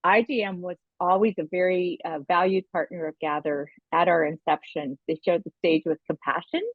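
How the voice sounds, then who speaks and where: A woman's voice: lowish voice, fairly even intonation, normal speed, no room sound, narrow, tinny audio. A woman co-hosting a podcast, introducing a guest in a conversational interview style.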